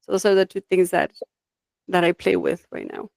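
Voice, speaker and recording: A woman's voice: lowish voice, huge pitch swings, normal speed, creaky, a little mellow, no echo. Reflective woman from Mexico, dry sound. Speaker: guest, life design coach. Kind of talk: conversational interview, personal storytelling.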